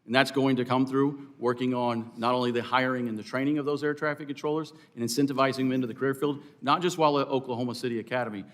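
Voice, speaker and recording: A man's voice: medium pitch, steady tone, fast talker, few pauses. A man testifying formally as a witness at a hearing, speaking earnestly and emphatically for his profession. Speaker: air traffic controllers' representative, hearing witness. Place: hearing room.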